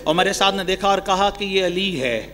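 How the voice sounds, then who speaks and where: A man's voice: high voice, pushed and bright. A man giving an impassioned mourning sermon, often turning to his listeners with rhetorical questions. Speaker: religious mourning orator.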